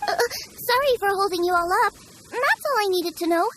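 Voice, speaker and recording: A woman voicing a tiny, childlike, cheeky fairy companion in a video game, squeaky and chirpy. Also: lively intonation, normal speed, fairly bright tone, slight background noise. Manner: chirpy, refers to herself by name. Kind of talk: animated video game voice acting.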